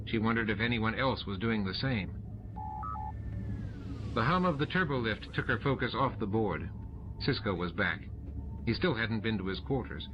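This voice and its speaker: A man's voice: lowish voice, animated, normal speed, long pauses, over music. A man giving scripted narration of a science fiction novel as an audiobook narrator. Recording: dull lo-fi tape, noisy ambient effects. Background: added ambient sound effects.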